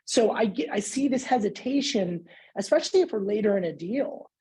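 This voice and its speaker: Husky conversational woman on a panel. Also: mid-range voice, expressive, a little fast, warm tone, low-quality recording. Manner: informal, seeks agreement with frequent tags. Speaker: sales expert panelist.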